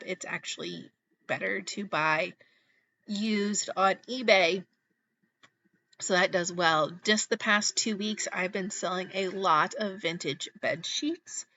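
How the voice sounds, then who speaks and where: A woman in her thirties hosting her own channel, chatting casually in a voice-over, her voice slightly hoarse after being sick, with frequent ums. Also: mid-range voice, bright, forceful tone, dry recording, lo-fi sound. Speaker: channel host, reseller. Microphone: voice-over mic.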